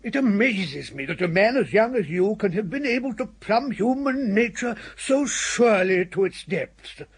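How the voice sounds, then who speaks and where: An actor playing a courtly, earnest older upper-class English uncle, pompous and effusively formal, in a radio comedy. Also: male voice, sits high, lively intonation, normal speed, slightly rough, full character voice. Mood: effusive, reverent. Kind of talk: radio comedy drama acting.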